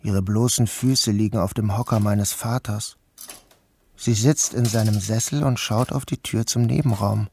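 An actor playing a troubled, dreamy grown son who narrates his surreal day in a measured, introspective way. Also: lowish voice, steady tone, dark tone, slight background noise. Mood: brooding, introspective. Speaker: narrator and protagonist. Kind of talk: first-person literary radio play narration. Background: music.

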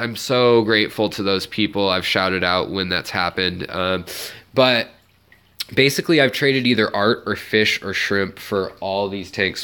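A man's voice: on the low side, pushed and bright, clear, resonant tone. A young man with a stuffed-up nose, chatting casually and rambling on a home live stream beside his fish tank. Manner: rambling, chatty, many fillers. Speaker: aquarium channel host.

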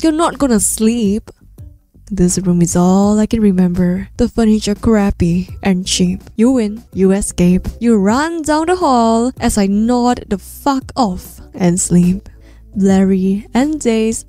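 A woman streamer reading a storybook aloud in a soothing bedtime manner, gently, sometimes dropping into a whisper, close to the microphone. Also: mid-range voice.

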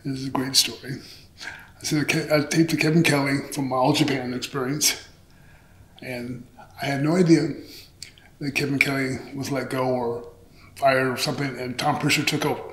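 A man's voice: mid-range voice, fairly even intonation, normal speed, pauses often. A middle-aged man telling stories casually in an interview, candid and profane, acting out bits of dialogue. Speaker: interview guest, pro wrestler. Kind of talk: animated casual storytelling. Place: small indoor interview set on a couch. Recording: roomy echo.

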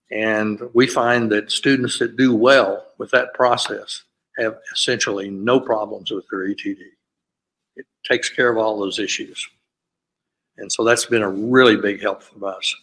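Lower-voiced older man explaining procedures. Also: low-quality recording. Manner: steady, explanatory, with frequent "uh" fillers. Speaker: panelist, graduate school administrator. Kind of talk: conference panel talk over video call. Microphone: video call.

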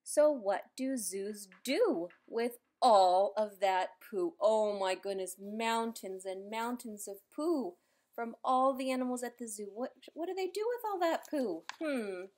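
A woman reading a children's picture book aloud, adding playful, expressive asides for young listeners. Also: on the high side, a little slow. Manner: playful, expressive, simple child-directed delivery with exclamations. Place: indoors in a room at home.

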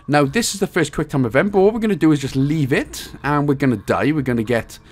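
A man's voice: on the high side, fast talker. A man from Wales, hosting a casual video game walkthrough with jokey, crude humour. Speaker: host of game achievement guides.

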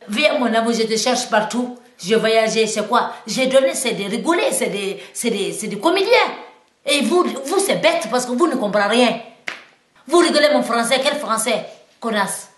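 A woman's voice: a little high, lively intonation, moderate pace, echoey room. A woman from Guinea with a Guinean French accent, talking as a singer in an interview, in simple, broken French full of restarts that grows heated and emphatic. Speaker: singer and musician.

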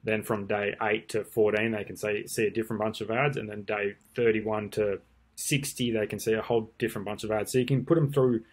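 Laid-back man with a low voice. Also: brisk, breathy edge. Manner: relaxed, rambling, many ums and restarts. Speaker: marketing tutor. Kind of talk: casual screen-share tutorial. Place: indoors at a desk.

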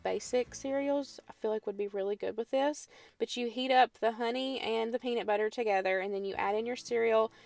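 Southern woman, 30s, chatty recipe voice-over, light vocal fry, faint music bed. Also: a little high, darkish tone. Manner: chatty, friendly how-to explaining with "y'all" and "um". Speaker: home cooking vlogger. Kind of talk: casual cooking voice-over. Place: home kitchen.

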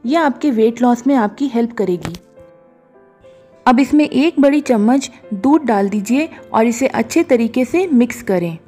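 A woman calmly narrating a cooking recipe step by step as a voice-over, slipping English words into her sentences. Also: on the high side, unhurried. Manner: calm, instructive, mixes English words into Hindi. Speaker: cooking show host. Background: soft background music.

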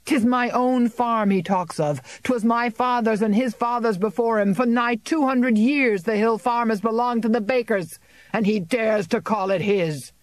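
A reader voicing a defiant male tenant farmer of about thirty who speaks harshly and shouts in anger. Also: mid-range voice, lively intonation, normal speed, hardly any pauses, no room sound, voice pushed up, natural voice. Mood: furious. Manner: shouting, harsh and rough-spoken.

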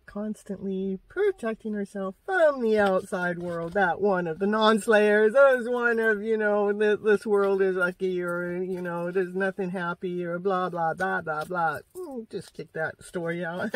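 A woman's voice: mid-range voice, no room sound. A middle-aged woman giving an informal, rambling tarot reading, giggly and exclamatory, often repeating her phrases. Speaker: tarot reader hosting a show. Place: inside a parked car. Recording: slightly distant in-car microphone.

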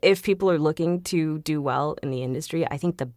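A woman with a lowish voice chats as a podcast guest. She is bubbly, laughs often, and fills pauses with ums. The sound is dry and close. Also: a little mellow, dry recording. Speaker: voice actor guest. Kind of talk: casual interview.

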